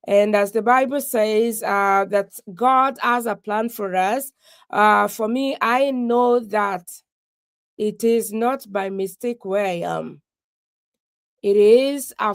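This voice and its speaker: A woman from Kenya talks unhurriedly on a video call, searching for words with frequent pauses. Her voice sits in a middle register. The sound is dry, with no room echo. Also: medium pitch. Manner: slow, halting, many "uh" pauses, thanks God often. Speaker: guest speaker. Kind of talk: faith-filled testimony on a live call.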